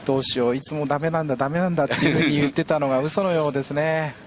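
A man's voice: on the high side, steady tone, racing. A man giving live color commentary on a bowling match. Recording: noisy broadcast with loud crowd. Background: bowling arena crowd noise.

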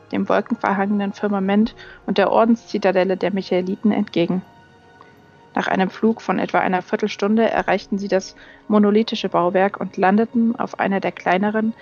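A woman's voice: medium pitch, darkish tone. A woman reading a fantasy novel aloud as scripted audiobook narration. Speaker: audiobook reader. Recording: dull lo-fi sound, music behind. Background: music.

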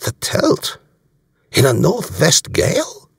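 A man's voice: a little high, wildly swooping pitch, slow, gravelly, breathy edge, dry recording, clean studio sound, voice pushed up, full character voice. A man voicing a bluff, shrewd German naval commander in an audiobook, genial and courteous as he probes with questions. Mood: amused, curious.

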